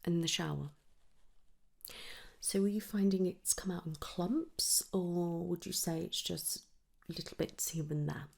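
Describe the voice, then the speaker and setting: Female ASMR clinician, soft whisper with husky fry, gentle and reassuring bedside delivery. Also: on the low side, steady tone, measured pace. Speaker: ASMR performer playing a trichologist at a hair clinic. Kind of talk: whispered ASMR medical roleplay.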